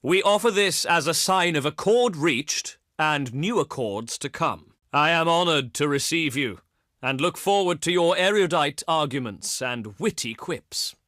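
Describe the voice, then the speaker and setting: An actor playing a proud, shrewd ancient Greek diplomat in a strategy video game, delivering formal, grandiose oratory in a pompous, declamatory manner. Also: male voice, a little high, animated, a little slow, a bit bright, no echo, clean studio sound. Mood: courting, gracious, then courteous welcome.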